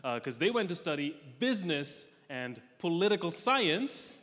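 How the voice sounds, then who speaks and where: A young man from Russia performing a stand-up comedy set, delivering dry, self-mocking punchlines with quick asides. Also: on the high side, sing-song, fairly bright tone. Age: young adult. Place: large comedy festival hall with audience. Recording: live hall recording with long reverb.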